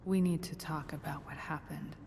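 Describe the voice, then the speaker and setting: A woman playing a broken, guarded mother in a game drama, speaking in a weary, deliberate way with bitter resignation. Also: low-pitched, fairly even intonation, creaky, darkish tone, big-room sound, a little hiss or hum. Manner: weary, deliberate, clipped phrases. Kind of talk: naturalistic dramatic game acting.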